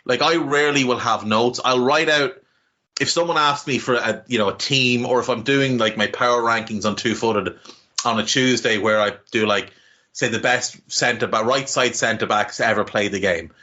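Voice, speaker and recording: A man co-hosting a casual podcast from home, talking in an animated way and swearing freely as his pitch jumps up. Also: normal speed, highs cut off. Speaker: podcast co-host.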